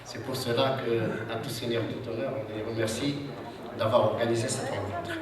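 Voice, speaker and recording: A man's voice: mid-range voice, fairly even intonation, normal speed, a crowd in the background. A man with a cold giving a formal, hesitant speech to an audience at a seminar, with many fillers and restarts. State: has a cold. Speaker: social security official speaking at a seminar. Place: large hall with audience. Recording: echoey hall, distant mic, audience noise.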